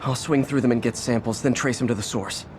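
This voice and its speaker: A man's voice: mid-range voice, fairly even intonation, a little fast, over music, lightly acted. An actor playing a wry, determined young male superhero talking to himself. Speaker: hero.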